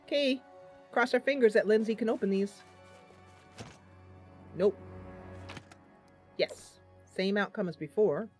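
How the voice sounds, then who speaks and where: A woman's voice: mid-range voice, animated, a little slow, long pauses, breathy edge, soft background music. Chatty woman narrating her gameplay. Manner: chatty, thinks aloud with frequent ums; playful, addresses viewers directly. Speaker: gaming channel host. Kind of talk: casual let's-play commentary.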